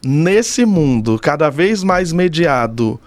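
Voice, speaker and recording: A man's voice: fairly high pitch, very animated, slow, dry recording. A man in his thirties with a Brazilian Portuguese accent, talking casually and reflectively as an interview guest, thoughtfully repeating phrases for emphasis. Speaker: podcast guest, doctoral student in communication.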